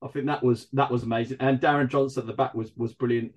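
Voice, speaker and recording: A man chatting about football in a casual podcast, piling on praise with an effusive, gushing manner. His pitch sits in an ordinary middle range for a man. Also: fairly even intonation, fast talker.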